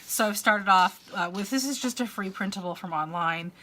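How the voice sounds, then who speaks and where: A woman's voice: medium pitch, normal speed, background noise. A middle-aged woman vlogging from home in a long, rambling casual chat, congested and fighting a dry cough, with many uhs and restarts. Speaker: crafter and vlogger. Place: at home, indoors.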